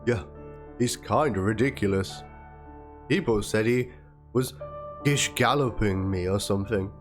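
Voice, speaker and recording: A low-voiced man gives a dramatic read-aloud of written messages. His delivery is theatrical, and instrumental music plays faintly underneath. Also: a little slow. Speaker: narrator reading aloud. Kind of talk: dramatic reading of a written chat log and document. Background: instrumental background music.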